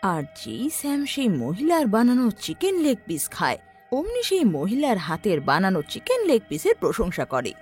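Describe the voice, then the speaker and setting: A woman's voice: mid-range voice, wildly swooping pitch, normal speed, darkish tone. A woman narrating a scripted horror story in a dramatic storytelling style. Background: background music.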